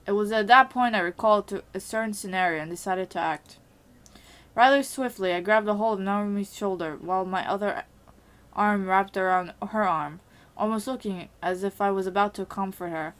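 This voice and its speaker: A woman's voice: medium pitch, moderate pace, a bit bright. A woman, an amateur voice actor, reading first-person visual novel narration steadily, with small slips and restarts.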